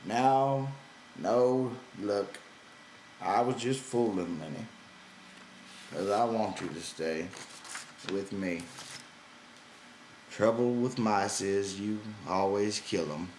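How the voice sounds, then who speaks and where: A man voicing a gruff, weary, irritable ranch hand with folksy rough grammar in an audiobook. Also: on the low side, slow, pauses often, a little mellow, roomy sound, a little hiss or hum, rough recording. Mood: conciliatory. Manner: gruff, exasperated, folksy ungrammatical speech.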